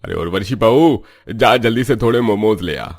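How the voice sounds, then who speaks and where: Older cartoon father-in-law acted by a man: low, gravelly, exclamatory family patriarch who loves food. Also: normal speed. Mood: delighted, hungry. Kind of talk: cartoon voice acting.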